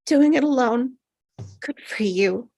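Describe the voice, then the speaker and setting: A woman's voice: sits high, expressive, slow, creaky, mellow, full character voice. A woman playing an anxious, apologetic doctor in a script table read. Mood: flustered, apologetic. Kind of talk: acted script reading.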